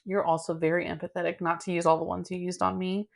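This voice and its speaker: A young woman co-hosting a podcast, talking in a chill, calm, monotone way in casual chat with a friend. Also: fairly low pitch, quick pace, creaky. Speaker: podcast co-host.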